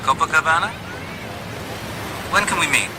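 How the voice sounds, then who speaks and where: Guy playing eager polite man in a radio play, highish voice, loud waves and crowd behind. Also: lively intonation, moderate pace, close to an everyday voice. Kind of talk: radio drama acting. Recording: loud surf and crowd noise.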